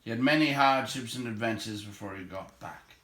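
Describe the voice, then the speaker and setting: A man's voice: on the low side, a little fast, pushed and bright, reverberant. A man narrating, reading a storybook aloud.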